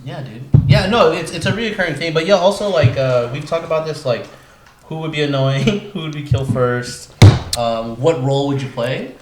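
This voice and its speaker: A man's voice: a little high, normal speed. A man hosting a casual podcast chat with friends, rambling through asides and swearing freely. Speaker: podcast host. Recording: echoey room with light background noise.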